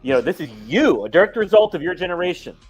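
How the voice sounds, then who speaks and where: A man's voice: high voice, sing-song, quick pace, slightly rough, faint music behind. A man co-hosting a live talk show, ranting in a heated, casual way.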